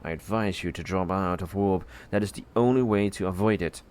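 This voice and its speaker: A man playing a frank elderly starship officer in an audio drama, speaking with grave, deliberate warning. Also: low-pitched, a little mellow, smooth, close to an everyday voice. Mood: grave, urgent.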